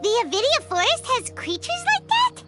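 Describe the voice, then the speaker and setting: A tiny, excitable fairy from a video game. The voice is squeaky and childlike, sky-high and piercingly bright, with music behind it. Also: female voice, moderate pace, exaggerated voice. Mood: startled, incredulous.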